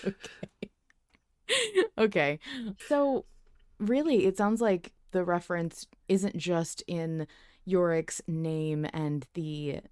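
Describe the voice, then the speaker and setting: A woman on a casual podcast who asks plain questions and pokes fun at herself. Her voice is smooth and somewhat low, and it sounds dry and close to the mic. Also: takes it slowly, long pauses, darkish tone, no room sound. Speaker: podcast co-host.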